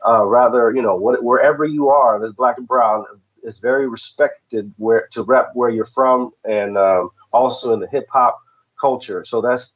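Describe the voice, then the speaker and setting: A man's voice: medium pitch, moderate pace, muffled recording. A man from the southern United States chatting casually as a podcast co-host. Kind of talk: casual chat.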